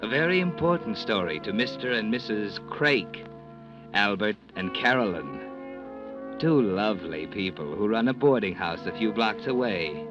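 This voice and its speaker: An American man playing an ominous, mysterious all-knowing narrator in a 1940s radio mystery, deliberate and portentous. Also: fairly low pitch, animated, natural voice. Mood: grave, dramatic. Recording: muffled old radio, loud music behind.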